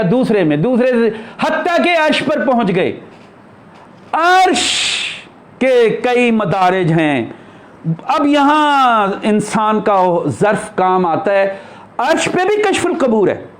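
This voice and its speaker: Guy, pretty high voice, teaching spiritual stuff like a chatty lecture, asks rhetorical questions. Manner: explanatory, conversational, with rhetorical questions. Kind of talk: religious discourse, answering questions.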